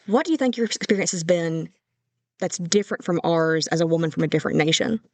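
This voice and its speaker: A woman's voice: lowish voice, fast talker, dry recording. A young adult woman hosting a casual podcast, asking questions and thinking aloud in a friendly, reflective way. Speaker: podcast host. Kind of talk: casual conversation.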